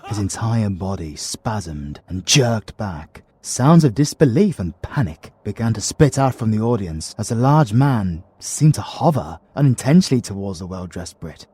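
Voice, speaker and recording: A British man narrating a dark audiobook in a slow, deliberate way. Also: sing-song, slight background noise. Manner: slow, low and deliberate storytelling.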